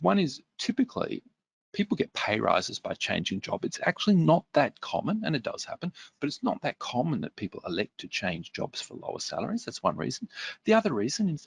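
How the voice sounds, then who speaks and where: A man's voice: medium pitch, vocal fry, husky, no echo. A man presenting a workshop webinar, reading out viewer comments and answering them conversationally with fillers.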